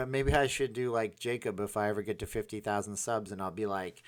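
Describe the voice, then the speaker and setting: A man's voice: on the low side, steady tone, a little fast. A man hosting a casual livestream, reading out chat messages and rambling with lots of fillers and restarts. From part Ukrainian, Polish, Irish, Scottish heritage.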